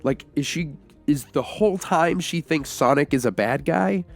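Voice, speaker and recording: A man's voice: a little high, moderate pace, vocal fry. Man chatting casually over background music. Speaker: podcast co-host reviewing a film. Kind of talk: casual film discussion.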